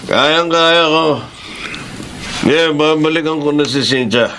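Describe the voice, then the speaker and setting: A man's voice: on the high side, takes it slowly. An actor playing a lecherous businessman villain in a radio drama, slurring and boastful, then growling threats. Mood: tipsy, scheming. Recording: loud hiss on radio drama tape.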